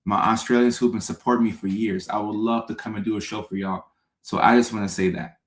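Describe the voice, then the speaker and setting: Upbeat comedian from Ghana, low-ish voice, live-stream chat in an echoey room. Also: male voice, fairly even intonation, fast. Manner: upbeat, greets viewers by name, repeats phrases. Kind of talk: casual live-stream chat reading viewer comments.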